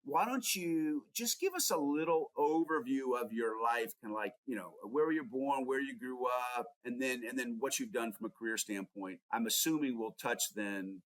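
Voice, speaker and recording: A man's voice: medium pitch, moderate pace, hardly any pauses, poor audio. A man hosting a podcast, asking questions in a casual, conversational interview style. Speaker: podcast host.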